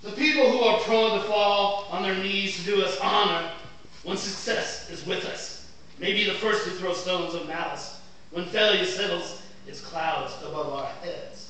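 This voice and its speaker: An actor playing an impassioned lawyer pleading to a jury in grandiloquent, declamatory stage oratory. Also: male voice, sits high, steady tone, measured pace, pushed and bright. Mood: solemn. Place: indoor theatre stage. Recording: echoey theatre, distant mic, faint noise.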